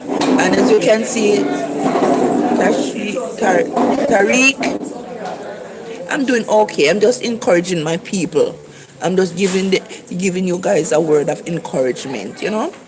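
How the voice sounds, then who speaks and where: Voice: medium pitch, measured pace, long pauses, background noise. A speaker from Jamaica with a Jamaican accent, giving a casual, earnest talk of encouragement to livestream viewers and repeating key phrases. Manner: earnest, repetitive exhortation. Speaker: livestream preacher.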